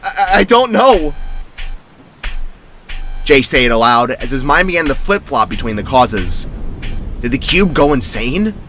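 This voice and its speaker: Male storyteller, lively pitch movement and bright tone, scripted dramatic narration over soft music. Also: normal speed.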